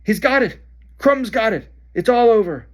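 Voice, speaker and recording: Guy doing a teen wizard kid yelling, way higher than his normal voice, loud. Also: moderate pace. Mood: excited shouting. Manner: shouting excitedly. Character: excited teen boy wizard.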